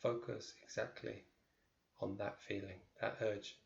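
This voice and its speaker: A man guiding a meditation as a teacher, speaking in short, measured phrases with pauses between them. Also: lowish voice, little pitch movement, vocal fry, roomy sound, highs cut off. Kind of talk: guided meditation.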